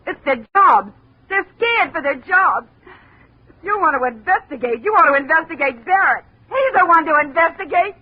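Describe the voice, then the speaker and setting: An actress playing a grieving widow who speaks quietly and earnestly in a 1950s radio drama. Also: female voice, extremely high pitch, animated, moderate pace. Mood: pleading. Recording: dull old radio broadcast.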